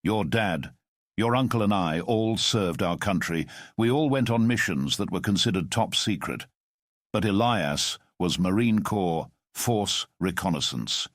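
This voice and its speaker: A man voicing a calm, commanding middle-aged former spy who speaks in a measured, formal way. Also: low voice, no echo, clean studio sound. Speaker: storyteller. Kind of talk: audio drama storytelling.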